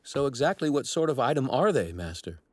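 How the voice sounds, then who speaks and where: Haughty anime god villain, wide-swinging pitch. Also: male voice, big pitch swings, a little fast, no echo. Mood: curious. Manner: formal, haughty, precisely worded. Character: arrogant young male apprentice god, righteous villain with courteous menace. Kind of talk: anime dub voice acting.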